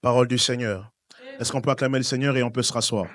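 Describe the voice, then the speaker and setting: A man's voice: mid-range voice, fairly even intonation, quick pace, a bit bright. A man from Switzerland preaching a sermon, emphatic and repetitive, addressing his congregation directly. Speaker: pastor, preacher. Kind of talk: sermon with call and response. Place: church service, speaking to congregation.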